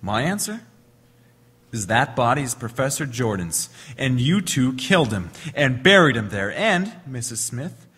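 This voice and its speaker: An actor playing a confident, accusing police inspector in an old-time radio crime drama, insistent, wry and theatrical. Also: male voice, medium pitch, very animated, a little slow, a bit bright, breathy edge, big-room sound, heavily acted.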